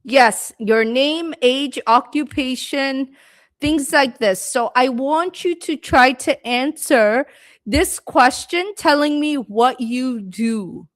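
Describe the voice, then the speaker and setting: A woman's voice: high voice, slow, no echo. A woman teaching English on a live stream, patiently greeting viewers by name and coaching their answers. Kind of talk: live-stream speaking lesson reading chat comments.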